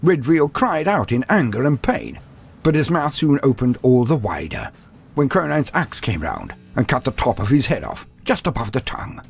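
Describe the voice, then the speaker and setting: A man's voice: mid-range voice, gravelly, breathy edge. A man giving a scripted, dramatic narration of fantasy war lore. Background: music.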